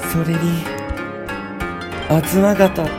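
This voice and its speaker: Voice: medium pitch, fairly even intonation, slow, music in the background, natural voice. An actor playing a proud, loyal young boy sorcerer in a period audio drama, cornered and muttering defiantly. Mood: panicked, urgent. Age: child figure.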